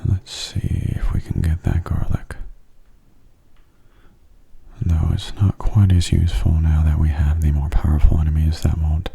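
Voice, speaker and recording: A man murmurs gameplay commentary in a soft ASMR style. His voice is very mellow and husky, with an even, narrow melody. He stays hushed and often slips into a whisper. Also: extremely low pitch, unhurried, long pauses. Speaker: video game streamer.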